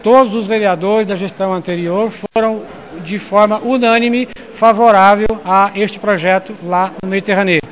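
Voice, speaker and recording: Assured older Brazilian man, high pitch. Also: steady tone, normal speed. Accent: Brazilian Portuguese. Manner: explanatory, assured. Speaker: council president. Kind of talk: interview answer. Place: council chamber indoors. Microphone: handheld reporter mic.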